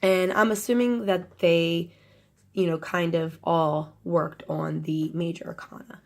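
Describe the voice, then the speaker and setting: Nasal, slow-talking woman with a cold. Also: on the low side, steady tone, vocal fry, darkish tone. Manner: rambling, many ums and restarts. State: has a cold, nasal and crackly. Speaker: tarot channel host. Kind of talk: casual unboxing talk.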